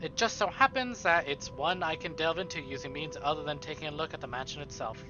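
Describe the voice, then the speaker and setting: A male streamer reading visual novel narration aloud in an expressive, dramatic way, with casual asides. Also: on the high side, quick pace, muffled recording. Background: game music.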